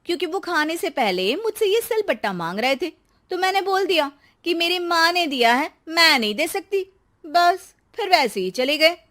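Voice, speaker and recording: A woman's voice: very high-pitched, very animated, normal speed, a bit bright, pitched above the performer's own voice, full character voice. A woman voicing a clever, fed-up young housewife in a cartoon, sounding sweetly sly. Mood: innocent. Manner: pleading, then sweetly sly. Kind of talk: cartoon voice acting.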